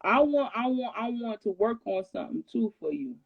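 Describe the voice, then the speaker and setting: Woman, fairly high voice, animated and emphatic, lo-fi phone-stream audio. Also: moderate pace, vocal fry, breathy edge. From Detroit, USA. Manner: animated, laughs often, emphatic repetition. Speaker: rapper guest. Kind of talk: casual live-stream chat. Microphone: phone on a live video call.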